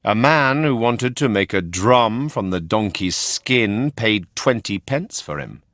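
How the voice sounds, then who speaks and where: Expressive male storyteller with very animated pitch. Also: takes it slowly, no room sound, studio quality. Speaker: storybook narrator. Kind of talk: scripted children's story narration.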